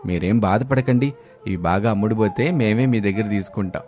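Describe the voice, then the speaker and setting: A man's voice: fairly low pitch, fairly quick, a little mellow, faint music behind, voice pushed down, close to an everyday voice. A man voicing a kindly male shopkeeper in a story, practical and reassuring.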